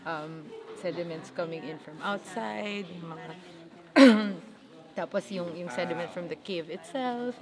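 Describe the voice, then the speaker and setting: A woman's voice: fairly low pitch, measured pace. A young woman with a Filipino accent, chatting casually as an archaeologist in an interview and switching between languages. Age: young adult. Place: cave excavation site. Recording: chatter of a busy dig site.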